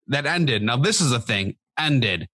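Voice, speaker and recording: A man in his thirties gives his opinions in casual podcast talk. His pitch is middling. He is recorded dry and close to the mic. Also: expressive, a little slow, pushed and bright, slightly rough, no room sound. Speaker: podcast co-host. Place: indoor home room, remote video call. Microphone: close podcast microphone.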